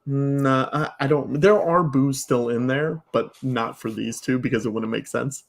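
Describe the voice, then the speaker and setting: Creaky-voiced man in casual podcast banter. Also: medium pitch, steady tone, measured pace. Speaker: podcast guest.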